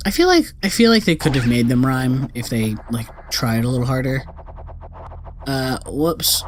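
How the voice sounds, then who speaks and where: A man's voice: mid-range voice, big pitch swings, normal speed, slight background noise. A man giving casual, rambling, sweary let's play commentary on a video game.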